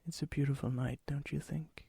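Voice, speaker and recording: A man playing a lonely, gently teasing vampire in an ASMR roleplay, with a soft, breathy voice that often drops into a whisper. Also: a little high, level tone, no echo, exaggerated voice. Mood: hushed, mysterious. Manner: slow, intimate, hesitant, gently teasing.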